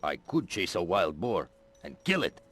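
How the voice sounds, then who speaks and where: An actor playing a bloodthirsty gate guard in a video game, politely hesitant and deadpan in his menace. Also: low-pitched, normal speed, faint music behind. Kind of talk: video game cutscene acting.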